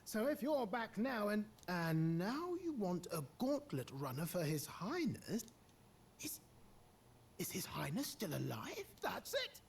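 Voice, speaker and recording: A woman voicing a brash, excitable female tinkerer in a video game, teasing and colloquial, in a theatrical way. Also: mid-range voice, a little slow, slightly rough, full character voice. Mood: suspicious, excited. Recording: music behind.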